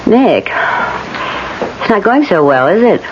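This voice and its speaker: An actress playing a wryly teasing, caring office secretary, familiar and gently probing, in a radio drama. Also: female voice, medium pitch, very animated, measured pace. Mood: concerned, teasing. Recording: muffled, noisy old radio broadcast.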